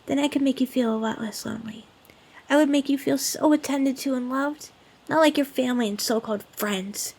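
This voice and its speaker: A woman voicing a lonely, sweetly clingy demon in an ASMR roleplay, speaking to the listener in a gentle, pleading, earnest way. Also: high voice. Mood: wistful, lonely. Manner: gentle, pleading, earnest, with hesitant stammers and hushed dips.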